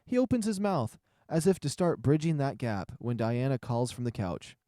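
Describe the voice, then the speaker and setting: Mellow, animated male narrator in a roomy space. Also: medium pitch, moderate pace, clean studio sound. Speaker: narrator and reader of a visual novel. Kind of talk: first-person scripted narration.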